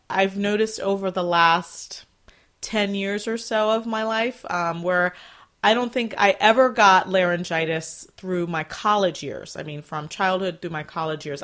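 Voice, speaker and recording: Woman, forties, solo podcast host; relaxed, rambling chat, a bit low-pitched, voice still scratchy from a recent cold. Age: 40s. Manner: chatty, rambling, with fillers and soft laughs. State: slightly scratchy voice, clearing throat after illness.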